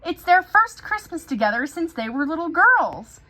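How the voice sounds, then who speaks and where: A woman reading a children's picture book aloud in an expressive storytelling style. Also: very high-pitched, normal speed.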